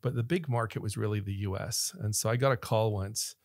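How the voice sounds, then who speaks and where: A middle-aged man from Northern Ireland with an American accent, a relaxed guest telling stories in a casual studio interview. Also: on the low side, a little fast, no echo. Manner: relaxed storyteller, laughs often, gestures with hands. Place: small podcast studio. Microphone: studio mic on boom arm, headphones on.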